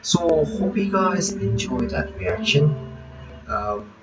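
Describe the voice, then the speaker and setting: A man's voice: a little high, very animated, slow pace. A sleepy man hosting a reaction video, rambling casually and reading game text aloud. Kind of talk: casual commentary while reading a visual novel aloud. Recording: dull lo-fi recording with game music.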